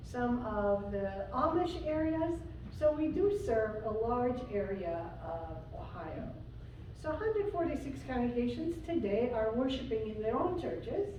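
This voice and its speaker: A woman from Syria, a guest preacher, telling a personal story in a sermon with slow pauses and fillers. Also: fairly high pitch, dark tone, muffled recording, slight background noise. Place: church sanctuary. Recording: echoey church from far off.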